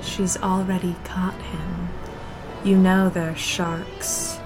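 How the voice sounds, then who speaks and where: Woman acting a slow, commanding female ghost. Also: fairly low pitch, level tone. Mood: ominous. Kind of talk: audio drama acting. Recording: music under the voice.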